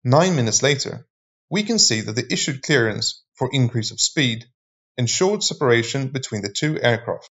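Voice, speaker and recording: A man reading scripted explainer narration in a measured, formal manner. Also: mid-range voice, lively intonation, a bit bright.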